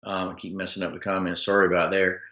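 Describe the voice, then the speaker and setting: A man hosts a loose sports talk stream, rambling through fillers and restarts in a lowish, forceful voice that sounds a little rough. The sound is muffled and lo-fi, with an echoey room around him. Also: completely flat delivery, fast talker. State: slightly rough voice. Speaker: sports talk show host. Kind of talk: casual live-stream commentary reading viewer comments.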